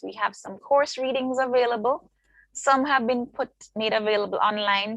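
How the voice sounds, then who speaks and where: A woman lecturer giving an online orientation talk over a video call. Her voice has a gravelly, fry-tinged edge, and the sound is close and dry. She lists points steadily, pausing now and then with "um". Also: medium pitch, fairly bright tone, no room sound, low-quality recording. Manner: measured, list-like delivery with frequent ums. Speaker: university lecturer presenting her department.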